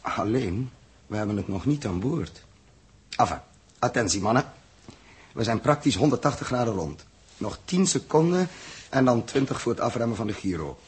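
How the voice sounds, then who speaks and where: An actor playing a brisk, genial spaceship commander in a 1960s Dutch radio play, with a husky voice and a Netherlands accent, switching between crisp orders and easy banter. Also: medium pitch, sing-song. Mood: focused, commanding. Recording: 1960s radio drama recording.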